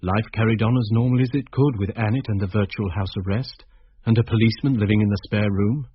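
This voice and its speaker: A man's voice: lowish voice, quick pace, breathy edge. A man playing a young schoolteacher who narrates a detective story in a scripted radio drama. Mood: reflective, measured. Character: presentable young male schoolteacher recounting a murder case. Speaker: narrator and character in a radio play. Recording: dull radio recording, music behind.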